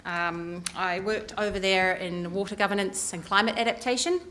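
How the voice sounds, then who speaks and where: A woman's voice: medium pitch, steady tone, moderate pace, a bit bright, slight background noise. New Zealand woman giving a campaign speech. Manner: earnest, many ums and uhs. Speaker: council election candidate. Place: community hall meeting room.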